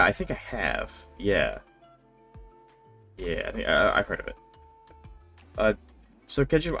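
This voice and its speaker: A man's voice: fairly low pitch, a bit bright. A man chatting casually as a game streamer, rambling in a conversational way. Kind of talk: casual livestream chat. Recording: game music behind voice. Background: video game music.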